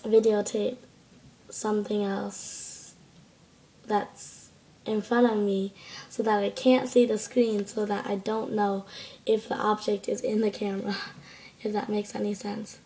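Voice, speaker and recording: A teenage girl talks into her laptop webcam from a small bedroom, her voice hoarse from a cold. Her delivery is gravelly with a narrow, even pitch, and she rambles along casually with lots of ums. A faint hiss sits under the recording. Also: measured pace. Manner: chatty, rambling, many fillers. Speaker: exchange student vlogger. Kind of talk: casual video diary. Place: small bedroom indoors at night. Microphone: laptop webcam at close range.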